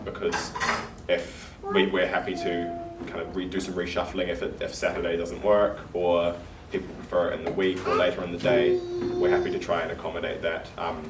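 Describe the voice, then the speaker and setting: Low-voiced man running a community workshop. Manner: relaxed, many fillers, chuckles often. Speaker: workshop organiser. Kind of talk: casual introduction to a community workshop. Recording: roomy, distant, noisy room.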